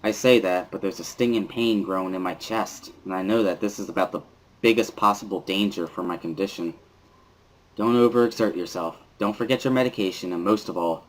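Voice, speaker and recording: Low-voiced man narrating a story. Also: slight background noise, low-quality recording. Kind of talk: dramatic first-person visual novel reading.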